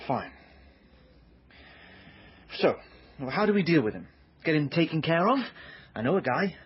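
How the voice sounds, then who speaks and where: A man's voice: fairly high pitch, sing-song, a little slow, breathy edge, lo-fi sound, full character voice. An actor playing a sardonic young male barman and sidekick in a comic radio drama, with a dry, teasing manner. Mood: casual, prodding. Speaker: sidekick character.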